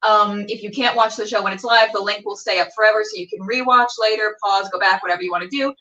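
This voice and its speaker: A woman's voice: mid-range voice, steady tone, fast, pushed and bright, far from the mic, highs cut off. A bubbly, theatrical woman chatting casually as she hosts a livestreamed show. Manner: bubbly, theatrical, self-mocking. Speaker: web show host. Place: indoor room with a door. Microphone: camera on a tripod, a little distant.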